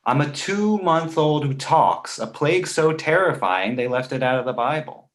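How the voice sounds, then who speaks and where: A man plays a precocious two-month-old boy who talks like a jaded adult. The delivery is sardonic and pedantic, with a slightly high pitch and very animated swings, read for an animated comedy. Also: normal speed, close to an everyday voice. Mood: cocky, teasing.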